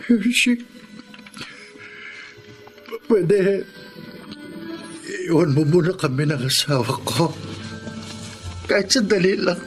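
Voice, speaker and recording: An actor playing a gentle, sickly Filipino father, halting and emotional, in a radio drama. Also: sits high, expressive, low-quality recording. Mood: grieving, pleading. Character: sickly married father, grief-stricken yet grateful, gentle. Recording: background music under the voice.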